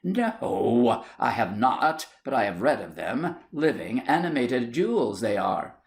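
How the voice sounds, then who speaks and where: A man's voice: medium pitch, big pitch swings, takes it slowly, vocal fry, exaggerated voice. A man voicing an indignant, jealous and melodramatic young Victorian wife in a storybook, haughty and exclamatory. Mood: haughty indignation.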